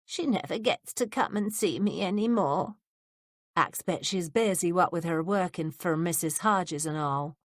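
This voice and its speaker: A woman voicing a frail, forgetful old woman with a quavery, sing-song voice who rambles slowly. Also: on the low side, dry recording, studio quality, lightly acted. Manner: rambling, slow.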